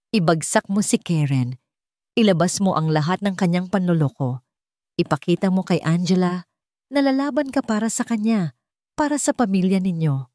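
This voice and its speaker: Stern mother in an audio drama played by a female narrator: commanding, emphatic, mellow tone, close dry sound. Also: on the low side, no room sound, natural voice. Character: stern, fiercely protective mother of a grown son.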